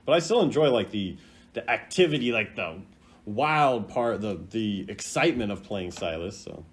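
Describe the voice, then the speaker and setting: A man giving casual, chatty commentary on game voice lines in an animated, playful way. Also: on the low side, moderate pace. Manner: animated, acts out imagined quotes in exaggerated voices. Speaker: gaming content creator.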